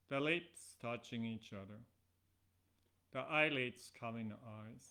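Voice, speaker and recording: A middle-aged man teaching yoga nidra, giving guided relaxation instructions in measured, deliberate phrases with pauses. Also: medium pitch, sharp tone.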